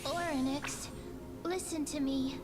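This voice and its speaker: A woman's voice: high-pitched, steady tone, slow pace. A woman playing a small, cute, girlish fairy creature in a video game, sweet and earnest. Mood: gentle, sympathetic. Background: background music.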